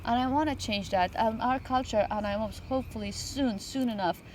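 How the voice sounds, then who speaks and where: A woman's voice: on the high side, a little fast, darkish tone, slight background noise. Earnest woman host. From Afghanistan. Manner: earnest, emphatic, repeats phrases. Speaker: talk show host. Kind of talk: heartfelt interview talk. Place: outdoors in a botanical garden.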